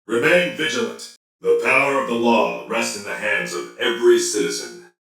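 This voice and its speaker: An actor voicing a stern, officious security robot in a video game, giving formal, clipped, impersonal announcements. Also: male voice, mid-range voice, expressive, normal speed, recorded from a distance, lightly acted. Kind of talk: video game character lines. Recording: echoey, off the mic, music playing.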